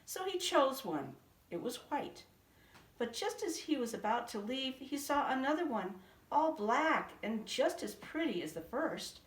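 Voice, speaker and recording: A woman's voice: sits high, measured pace. Woman reading a picture book aloud. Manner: sing-song, expressive read-aloud. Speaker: storybook reader. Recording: roomy echo, from a distance.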